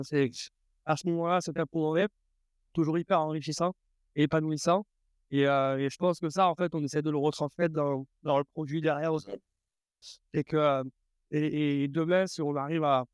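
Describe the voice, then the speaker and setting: Guy with a crisp smooth voice, kinda high, lots of uh and restarts, dry sound. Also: dry recording. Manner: halting, many fillers and restarts. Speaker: startup co-founder. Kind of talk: casual pitch while eating hot sauces. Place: room around a table.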